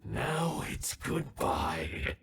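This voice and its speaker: Scheming game villain, theatrical and taunting, wildly swooping delivery, roomy distant sound. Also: male voice, mid-range voice, sing-song, extremely slow, creaky, fairly bright tone, slight background noise, exaggerated voice. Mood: gloating, taunting. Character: male scheming, gloating villain, hot-tempered schemer. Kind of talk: video game voice acting.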